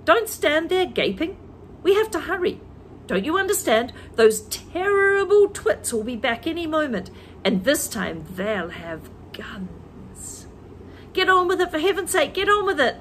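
A bossy, excitable monkey father shouts orders in a high, swooping voice, put on by a woman reading a storybook aloud. He is frantic and impatient. There is a faint hiss of noise behind him. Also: a little slow.

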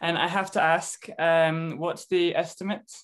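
Low-voiced woman interviewer. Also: level tone, unhurried. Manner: brief, low-key prompts and acknowledgements. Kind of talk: casual interview questions.

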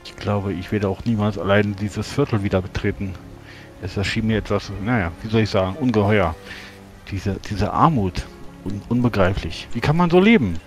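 A man playing a naive, well-meaning gentleman adventurer in a tabletop roleplay, with hesitant, prim and genteel phrasing. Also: lowish voice, moderate pace, natural voice. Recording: music behind.

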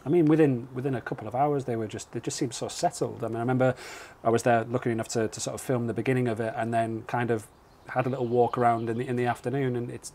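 A man's voice: mid-range voice, fairly even intonation, fast talker, few pauses, warm tone, a little hiss or hum. A man, chatting casually as a podcast host. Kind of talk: casual interview chat. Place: small log cabin. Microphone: close podcast mic on boom arm.

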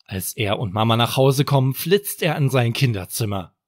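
A man's voice: mid-range voice, lively intonation, normal speed, no room sound, studio quality. A man narrating a scripted bedtime story for children as a storyteller.

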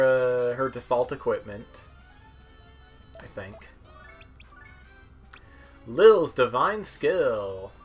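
A young man talks through a video game demo in a casual, offhand way. His voice sits at an average male pitch, and his delivery is a bit halting. Game music plays clearly behind him. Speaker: gamer demoing video game characters. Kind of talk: casual gameplay commentary. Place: indoor room at home, seated.

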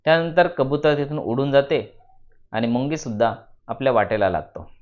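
A man from narrates a children's moral story in a deliberate, teacherly way, repeating phrases for emphasis. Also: medium pitch. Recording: dull lo-fi voice recording.

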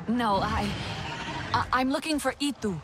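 A woman playing a young alien newcomer in a video game. Her voice is fairly high, earnest and tentative, with clear music behind it. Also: measured pace, lightly acted. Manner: modest, tentative. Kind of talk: video game cutscene acting. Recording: voice over prominent game music.

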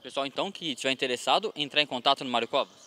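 A man in his thirties, a field reporter interviewing a guest in a sports gym with a handheld mic. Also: medium pitch. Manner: brisk, bright questioning.

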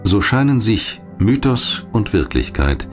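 A man narrating a scripted history documentary in a measured, articulate storytelling manner. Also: fairly low pitch, very animated. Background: music.

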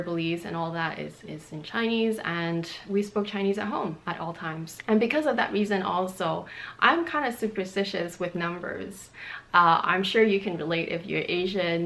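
A young woman from Montreal whose first language is Cantonese, chatting casually to camera in a bright room with lots of fillers and hand gestures. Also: on the low side. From Canada (Montreal). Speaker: beauty and fashion vlogger. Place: bright bedroom indoors.

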